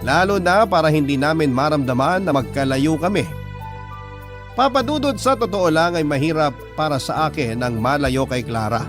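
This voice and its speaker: A man's voice: a little high, animated, moderate pace, over music, natural voice. A man voicing a lovelorn letter sender with a Filipino accent, narrating his love story sincerely for a radio drama. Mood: wistful. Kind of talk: scripted first-person radio drama narration.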